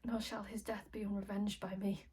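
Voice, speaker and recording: A woman's voice: medium pitch, level tone, a little fast, a little mellow, husky. An actress playing a grieving, vengeful noblewoman, delivering classical tragic verse in an intense, reproachful, declamatory way. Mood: vengeful resolve. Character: grieving, vengeful noblewoman of Renaissance revenge tragedy. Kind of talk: classical verse tragedy monologue.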